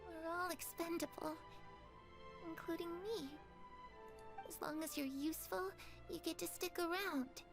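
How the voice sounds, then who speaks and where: A woman's voice: very high voice, a little slow, long pauses, music in the background. A woman playing a troubled, wistful young orphan girl in a video game drama. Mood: bitter, fearful.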